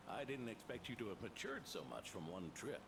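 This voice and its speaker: A man's voice: medium pitch, big pitch swings, normal speed, natural voice. An actor playing a wry older male knight who probes and teases with a drawling delivery. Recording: game audio with noise.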